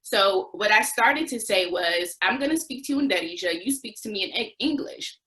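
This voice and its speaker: A woman's voice: mid-range voice, lively intonation, normal speed, bright, forceful tone, low-quality recording. Young American female interview guest, mid-range voice, conversational storyteller who acts out quotes. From United States. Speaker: interview guest, former Peace Corps volunteer.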